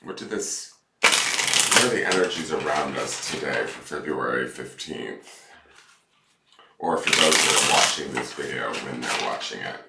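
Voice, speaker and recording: A man with a stuffed-up voice, chatting casually as a tarot reader and rambling excitedly about the cards. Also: extremely low pitch, a little slow, gravelly. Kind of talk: casual chat to camera. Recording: echoey room from far off, loud noise.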